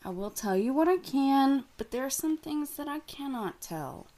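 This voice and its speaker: An actress playing a secretive young woman from a cursed family, guarded and hushed. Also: high voice, lively intonation, normal speed. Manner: hushed, guarded, then pleading. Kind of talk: audio drama acting.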